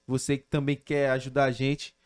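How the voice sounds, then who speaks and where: A man co-hosting a podcast, giving casual sponsor shout-outs. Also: medium pitch, monotone, moderate pace, smooth. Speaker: podcast co-host.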